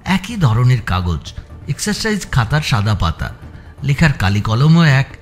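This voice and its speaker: A man's voice: medium pitch, sing-song, measured pace, faint music behind. A man reading scripted story narration as an audiobook narrator.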